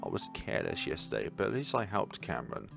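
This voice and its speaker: A sickly, snarky teenage boy from a visual novel, read by a man, low and muted. He sounds guarded and reluctant, with faint music behind him. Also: huge pitch swings, fast talker, vocal fry, fairly bright tone, exaggerated voice. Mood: weak.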